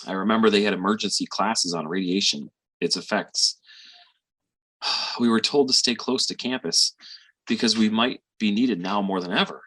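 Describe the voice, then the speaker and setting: Actor playing a low-voiced, husky, tender doctor father. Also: a bit bright, poor audio. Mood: somber, reflective, then grave, earnest. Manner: reflective, halting with sighs and pauses. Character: weary, tender middle-aged physician father consoling grown daughter. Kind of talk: dramatic stage monologue.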